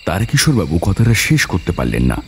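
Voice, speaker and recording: A man's voice: low voice, huge pitch swings, a little fast, a little hiss or hum. A man reading a scripted story as its narrator, with dramatic delivery.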